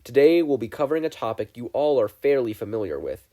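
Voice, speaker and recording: A man voicing a stern, deadpan male teacher with a drawl, addressing his class in a story reading. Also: mid-range voice, quick pace, lightly acted. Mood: stern, dry.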